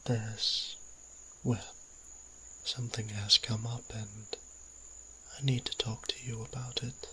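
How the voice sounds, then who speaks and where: A man playing a gentle, anxious vampire priest in an audio drama, hesitant and politely formal, with a husky, breathy voice. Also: fairly low pitch, little pitch movement, slow, long pauses, a little hiss or hum. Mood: flustered, awkward. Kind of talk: scripted audio roleplay acting.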